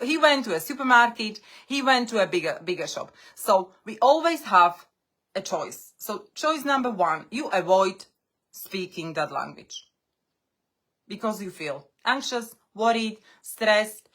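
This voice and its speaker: A woman coaching English learners on a live stream. English is her second language, and she speaks deliberately in short phrases with pauses. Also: medium pitch, a bit bright. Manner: non-native English speaker.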